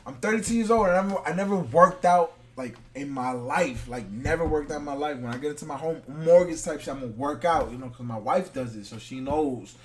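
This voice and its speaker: A man's voice: on the high side, brisk, few pauses. A man in his thirties co-hosting a casual podcast, speaking bluntly and emphatically and swearing freely. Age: 30s. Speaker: podcast co-host. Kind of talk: casual chat.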